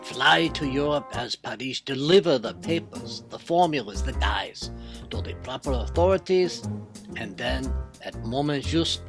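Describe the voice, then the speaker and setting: An actor playing a clever, courteous, self-assured detective with a French accent and a formal, theatrical way of explaining, in an old-time radio mystery style. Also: male voice, mid-range voice, expressive, measured pace, over music. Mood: confident, explaining.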